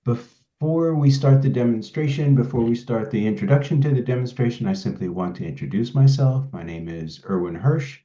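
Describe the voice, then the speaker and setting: A middle-aged man with a Swedish accent, a consultant giving a measured, explanatory webinar presentation with frequent restarts. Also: medium pitch, mellow. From Sweden. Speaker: pharma quality consultant presenting a demo. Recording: roomy, slightly dull audio.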